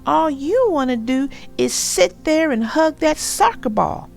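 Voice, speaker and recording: A woman's voice: high-pitched, sing-song, a little slow, vocal fry, faint music behind, voice pushed up, heavily acted. A woman voicing an eager, impatient, bossy young girl bear cub in a storybook read-aloud. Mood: irritated, impatient. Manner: eager, pushy, exclaiming. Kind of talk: storybook character voice.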